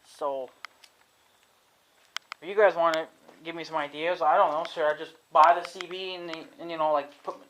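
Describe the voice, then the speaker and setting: A man's voice: on the high side, steady tone, normal speed, long pauses, fairly bright tone, slight background noise, poor audio. Rambling, unsure man swearing casually. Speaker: vlogger. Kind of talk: rambling casual talk to camera.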